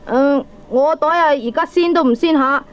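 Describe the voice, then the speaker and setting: A cheeky young good-for-nothing son acted by a woman with a very high voice. He is pushy, shameless and slangy in Hong Kong Cantonese. It is comic radio drama on a dull old cassette. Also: moderate pace, close to an everyday voice. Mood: pushy, wheedling. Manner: brazen, slangy wheedling. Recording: dull 1980s audio cassette recording.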